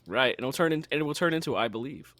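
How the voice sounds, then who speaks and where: A man co-hosting a casual chat, his voice a little raspy and hoarse from a cold, talking in a wry, self-deprecating way. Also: medium pitch, expressive, quick pace, a bit bright, no echo. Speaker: podcast co-host.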